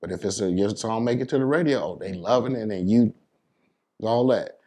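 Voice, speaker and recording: A man from New York City with a fairly low voice, talking as a DJ being interviewed. His speech is casual and slangy, and he lays out advice with emphasis. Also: fairly quick, dark tone. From New York City, United States.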